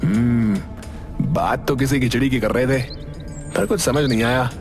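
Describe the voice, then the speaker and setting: A man's voice: medium pitch, unhurried, close to an everyday voice. A man playing a nosy, scheming healer's apprentice in a Hindi cartoon story. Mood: suspicious. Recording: music behind the voice.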